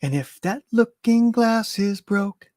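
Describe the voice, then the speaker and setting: A man's voice: high-pitched, animated, takes it slowly, darkish tone, no room sound, clean studio sound, voice pushed up. A man singing as an eerie crooning young mother. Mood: sing-song crooning. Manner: sing-song crooning.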